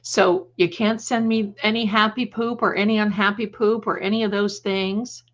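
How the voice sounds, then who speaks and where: A woman's voice: medium pitch, steady tone, moderate pace, dull audio. A woman hosting a casual live chat, rambling and repeating phrases, with affectionate endearments for her viewers. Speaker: livestream host and creator.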